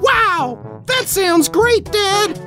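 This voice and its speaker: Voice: very high voice, lively intonation, drawn-out words, sharp tone, faint music behind, heavily acted. An actor voicing a wide-eyed young boy in a hammy retro radio advert, with exaggerated gee-whiz delivery. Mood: amazed. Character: excitable young boy, bored then thrilled, 1950s-ad style.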